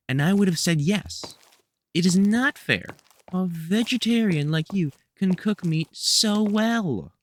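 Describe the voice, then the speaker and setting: A man's voice: mid-range voice, a bit bright, slight background noise. A man playing a protective, sardonic veteran vampire hunter in an audio roleplay, scolding fondly in colloquial speech. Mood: grumbling, teasing. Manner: colloquial, sardonic, scolding mentor with mild swearing. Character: gruff, protective veteran male vampire hunter, exasperated but fond. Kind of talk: scripted audio roleplay, one-sided conversation.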